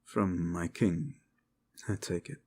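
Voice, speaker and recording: A weary knight-captain, acted by a man with a lowish voice and very unhurried delivery. He is sombre, earnest and modest, a loyal soldier from a fantasy audio drama. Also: fairly even intonation, darkish tone, heavily acted.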